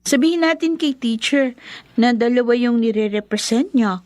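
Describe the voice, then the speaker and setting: A woman's voice: a little high, moderate pace, a little mellow, dry recording, close to an everyday voice. An actress playing a protective, frank schoolgirl best friend in a Tagalog radio drama, with a Filipino accent. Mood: concerned, frank.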